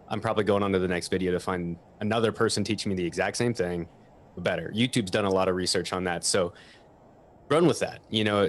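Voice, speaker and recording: A man speaking as an audio expert on a panel, offering practical advice in a conversational, persuasive way. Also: fairly low pitch.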